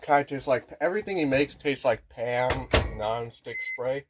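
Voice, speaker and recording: A man's voice: medium pitch, moderate pace, background noise. A man with a nasal voice talking in a rambling, casual vlog monologue at home, restarting often and swearing casually. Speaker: vlogger. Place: home kitchen near a microwave.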